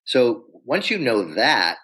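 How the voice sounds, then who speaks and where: A man coaching on pitching in a podcast interview, telling stories and acting out quoted voices. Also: slow pace, husky. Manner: animated storyteller acting out quotes.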